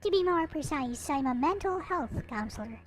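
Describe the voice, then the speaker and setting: A woman voicing a gentle, persistent young woman counselor, kindly and earnest, in a visual novel. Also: high voice, expressive, normal speed, soft background music.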